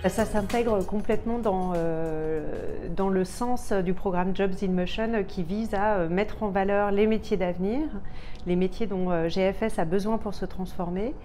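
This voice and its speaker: A woman manager speaking in a corporate interview, hesitant and full of filler pauses. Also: mid-range voice, steady tone, mellow, faint music behind.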